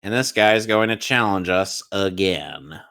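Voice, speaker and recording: A man's voice: low-pitched, animated, unhurried, fairly bright tone, smooth. A young man on a casual gaming stream, dryly teasing his friends while narrating his play. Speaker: podcast co-host and gamer.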